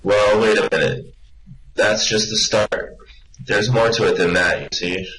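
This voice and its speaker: A man plays a wry, unflappable space traveller in a comic sci-fi radio play, coaxing and sardonic. The voice is deep with a bright, forceful edge, over a faintly noisy, clicky recording. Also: a little slow. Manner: persuasive, sardonic, improvising.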